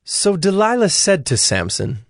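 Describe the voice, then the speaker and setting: A man narrating dramatized scripture in a measured storytelling manner. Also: high voice, very animated, gravelly, no echo. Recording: dry studio with music underneath. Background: music.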